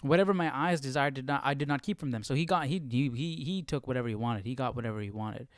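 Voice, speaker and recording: A man's voice: mid-range voice, fast, no echo, studio quality. A man hosting a livestream study, reading and commenting aloud in a casual, conversational way, often checking in with the listener. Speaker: host of a livestream Bible study.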